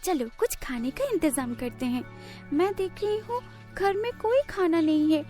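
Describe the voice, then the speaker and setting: A woman's voice: very high voice, animated, normal speed, mellow, faint music behind. A woman voicing a small, devoted, anxious mother bird in a cartoon story, earnest and theatrical in delivery. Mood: busy, content. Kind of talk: cartoon moral story voice acting.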